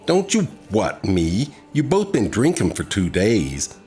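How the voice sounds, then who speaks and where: A man acting a gruff, no-nonsense bartender in a radio drama. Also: on the low side, wildly swooping pitch, unhurried, dark tone, faint music behind. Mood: stern.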